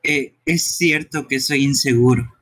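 A man's voice: medium pitch, unhurried, a bit bright. A man voicing a timid, earnest young furry creature in an amateur game dub, speaking hesitantly. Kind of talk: amateur live game dubbing.

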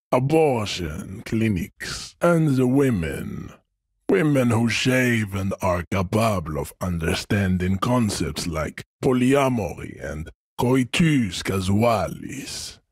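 An actor playing an arrogant hyper-masculine strongman philosopher in a video game, lecturing with pompous, deliberate condescension. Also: on the low side, slightly rough, no echo, clean studio sound, heavily acted. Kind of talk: video game character acting.

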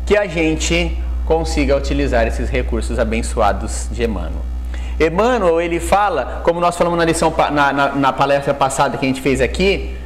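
A man's voice: on the high side, expressive, moderate pace. A man in his thirties with a Brazilian accent giving an informal religious lecture, explaining colloquially and often rephrasing with little tag questions. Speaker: lecturer at a Spiritist study group. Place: indoors, plain room with whiteboard backdrop. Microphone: clip-on lapel microphone.

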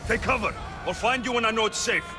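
An actor playing a protective, wry mercenary hero in a video game, with a terse, dry manner. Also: male voice, very high-pitched, fast talker. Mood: urgent. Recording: music behind the voice. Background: music.

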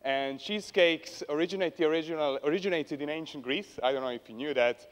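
Young man presenting, smooth voice, hall reverb. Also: a little high, fast talker. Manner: hesitant, dryly humorous, many fillers. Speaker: conference speaker. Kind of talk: conference presentation. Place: large conference hall stage. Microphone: handheld mic.

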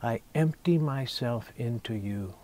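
A man in his sixties recites poetry at a slow pace, with a medium-pitched voice. His phrasing is deliberate and intimate, each short line set apart by a pause, as a poet reading his own work. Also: warm tone.

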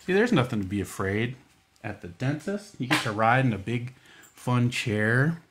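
A man reads picture books aloud as a children's storytime host. His pitch is average, and he drops in playful, casual asides in simple phrasing. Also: expressive, moderate pace, fairly bright tone, slight background noise.

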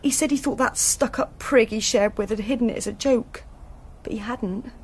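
A woman's voice: fairly high pitch, moderate pace, breathy edge. An actress playing a young woman hairdresser, plain-spoken and distraught after her boyfriend's murder, in a radio drama. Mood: grieving. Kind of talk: BBC radio drama acting.

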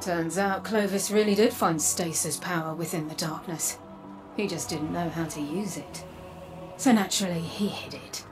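An actress playing a cool, knowing warrior guide in a video game cutscene, wry and measured. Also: female voice, lowish voice, music in the background, lightly acted.

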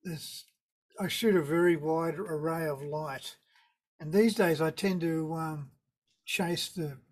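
An older man with a Tasmanian accent, a surf photographer talking on a video call in a relaxed, rambling way with dry understatement. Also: a little high, slow pace. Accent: Tasmanian Australian. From Tasmania, Australia. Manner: relaxed, rambling storyteller with laid-back understatement. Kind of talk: casual interview on a video call. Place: indoor room with a virtual wave backdrop. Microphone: video call webcam.

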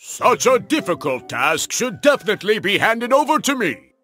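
A proud cartoon big cat, bold and emphatic. His voice is high for a male, bright and full of swings, with faint music underneath. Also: big pitch swings, normal speed, heavily acted. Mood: boastful. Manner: boastful, emphatic delivery. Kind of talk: children's cartoon voice acting.

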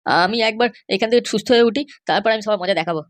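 A man's voice: high-pitched, racing, close to an everyday voice. A man voicing an injured, aggrieved older uncle in an amateur comedy cartoon, complaining emphatically. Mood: aggrieved, vengeful.

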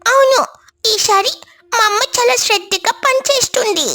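A woman's voice: extremely high pitch, moderate pace, sharp tone, pitched above the performer's own voice. A woman voicing an eager, helpful little child sparrow in a thin childlike voice for a cartoon story. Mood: cheerful.

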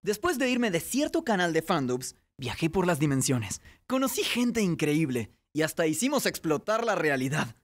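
A young man hosting an animated comedy video, giving mock-grand royal proclamations with deadpan asides, recorded on a studio microphone. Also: on the high side, moderate pace, few pauses. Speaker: animation channel host and voice actor. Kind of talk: scripted comic narration for an animated video.

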